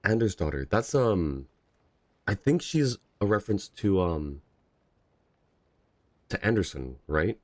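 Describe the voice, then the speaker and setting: Very deep-voiced, easygoing game streamer. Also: very animated, slow pace, long pauses, darkish tone, no echo. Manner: easygoing, reacts to game and chat. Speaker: livestreamer. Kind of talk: casual game stream chat.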